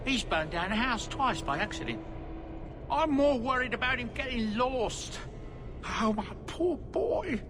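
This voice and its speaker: A man's voice: very high voice, sing-song, measured pace, noisy background, heavily acted. An actor playing a worried, doting dwarf merchant father in a video game, pleading courteously. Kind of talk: dramatic video game dialogue.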